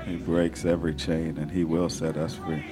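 A pastor preaching a sermon in a hoarse, raspy voice, building energy and talking directly to his congregation. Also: very deep voice, level tone, moderate pace. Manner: preaching with rising call-and-response energy. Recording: sermon with organ music behind. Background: organ and instrumental music.

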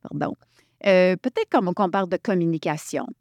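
A woman from Quebec leading a workshop, talking in an animated way and restarting her sentences often. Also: lowish voice, normal speed, dry recording, studio quality. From Quebec, Canada. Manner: animated, thinks aloud with many restarts. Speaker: workshop facilitator. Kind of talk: workshop talk.

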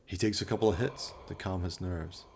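A man's voice: deep voice, fairly even intonation, brisk, darkish tone, slight background noise. A man delivering theatrical, scripted narration for a comic horror radio play, in an arch, melodramatic manner.

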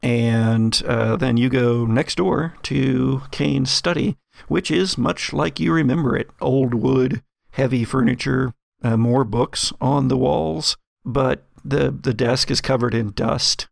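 A man's voice: mid-range voice, slow pace, creaky, no room sound. A man narrating a tabletop roleplaying game as its game master.

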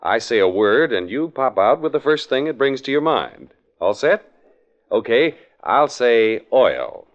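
A man's voice: mid-range voice, very animated, a little slow. A polished, salesmanlike man announcing and advertising in 1940s radio style, on a muffled vintage broadcast recording.

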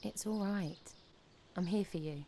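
A woman's voice: lowish voice, unhurried, mellow, slight background noise. An actress playing a polite, soothing young doctor and supportive girlfriend in an audio play. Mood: reassuring.

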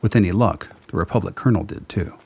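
A man narrating a scripted radio drama as its storyteller, with a husky voice. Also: low-pitched, fast talker, over loud music.

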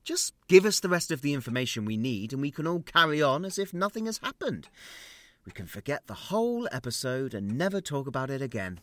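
Actor as an exasperated, short-tempered dad, hugely varied pitch. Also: male voice, big pitch swings, fairly quick, dry recording. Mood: coaxing, exasperated. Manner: hushed mutters flaring into loud outbursts. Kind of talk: audio drama acting.

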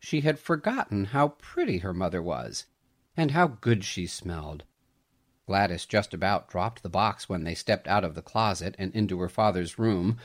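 A man reading a story aloud as scripted narration, with an expressive, dramatic storytelling delivery. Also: sits low, moderate pace.

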